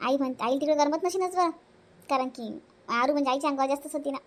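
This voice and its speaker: Dutiful, conciliatory eldest sister-in-law for a family cartoon, played by a woman: high, brisk, hesitant delivery, Varhadi Marathi accent. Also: lightly acted. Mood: wistful, musing. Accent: Varhadi Marathi from Vidarbha. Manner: conciliatory, hesitant, self-sacrificing. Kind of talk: homely family cartoon voice acting.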